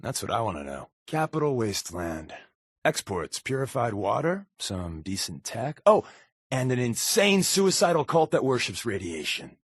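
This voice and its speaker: An actor playing a wry, sarcastic secret agent companion in a post-apocalyptic video game, with a deadpan, wisecracking manner. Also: male voice, mid-range voice, moderate pace, husky, no room sound, studio quality. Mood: sardonic.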